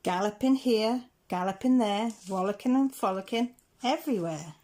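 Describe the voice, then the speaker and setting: A woman reading rhyming picture-book stories aloud in a measured, rhythmic way. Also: mid-range voice, darkish tone. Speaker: children's story reader.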